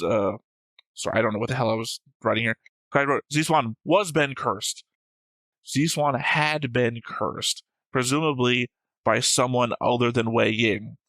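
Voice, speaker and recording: A man with a very bright, forceful voice at a middle pitch, recorded dry and close. He hosts a reaction show and argues through story theories casually, thinking out loud as he goes. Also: no echo. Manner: argumentative, reasoning aloud, swears casually. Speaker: host of an anime reaction show. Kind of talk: casual discussion of plot theories.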